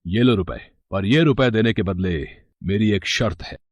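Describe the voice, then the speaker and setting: A man's voice: fairly low pitch, normal speed, highs cut off, lightly acted. A man voicing a cold, scornful rich father. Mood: stern. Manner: harsh and scornful. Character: stern rich old father, cold and proud. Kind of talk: animated storybook voice acting.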